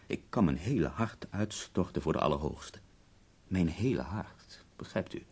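An actor playing a bedridden, dying poet in a radio drama, ironic, eloquent and given to complaint, with a gravelly, husky voice. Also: male voice, low voice, expressive, darkish tone. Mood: ironic confiding. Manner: eloquent, wry, drawn-out pauses.

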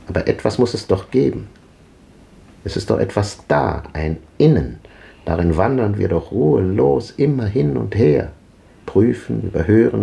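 A man's voice: fairly low pitch, vocal fry, mellow, full character voice. An actor playing a restless, brooding old man in a German radio drama of the 1950s, musing in clipped fragments. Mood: troubled, searching. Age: older. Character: restless, brooding old man recalling rough odd jobs.

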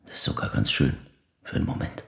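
An actor playing a grieving older man who speaks reflectively and slowly in a measured, pensive audio drama monologue. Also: lightly acted. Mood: quietly accepting. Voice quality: very deep, gravelly, breathy at phrase ends. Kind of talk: slow literary dramatic monologue.

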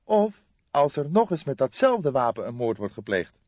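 A man's voice: mid-range voice, sing-song, moderate pace, a little mellow, no room sound, highs cut off, pitched above the performer's own voice. A man voicing a younger male police detective sidekick, nonchalant, eager and matter-of-fact, in an audiobook. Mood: keen speculation.

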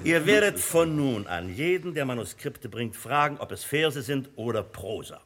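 An actor in a German radio drama playing an imperious, cynical publisher who talks in a blustering, condescending way. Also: male voice, medium pitch, animated, normal speed, a crowd in the background, lightly acted. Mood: dismissive. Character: imperious, cynical, boastful male publisher and bookseller.

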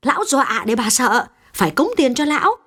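A man voicing a firm, skeptical chairman's wife in a blunt, decisive falsetto. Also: very animated, a little fast, bright, forceful tone, heavily acted.

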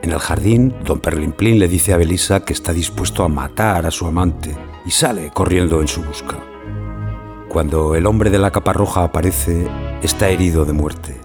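Deep-voiced male narrator over music. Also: normal speed. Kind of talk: scripted narration summarizing a play.

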